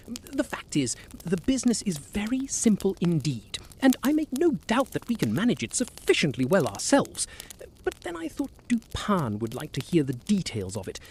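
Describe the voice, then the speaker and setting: A woman's voice: medium pitch, a little mellow, slight background noise, poor audio. A woman playing a blustering, easily amused male police prefect in an audio drama.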